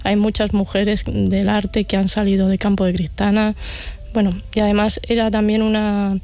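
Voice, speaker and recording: Spanish woman from spain being interviewed, soft mellow tone, pretty flat pitch, explains stuff casually. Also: normal speed. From Castilla-La Mancha, Spain. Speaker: event organiser, interview guest. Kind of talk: conversational interview.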